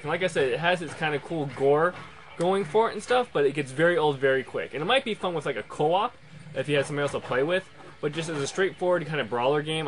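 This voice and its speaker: A man's voice: a little high, a bit bright. A man hosting a casual, unscripted video game review in a rambling, loosely worded way.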